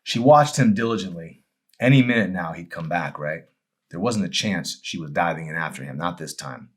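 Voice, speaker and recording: A man with a low voice presents and reads his own fiction in an audiobook manner. His phrasing is deliberate and dramatic. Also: lively intonation, husky. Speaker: author reading own novel. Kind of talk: audiobook-style narration with casual introduction.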